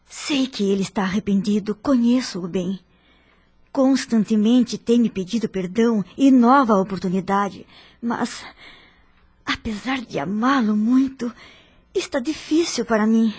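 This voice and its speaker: A woman's voice: a little high, a little slow, darkish tone, slightly rough. An actress playing a hurt, dignified middle-aged wife and mother in a radio soap opera, with earnest, formal diction. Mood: wounded, sorrowful.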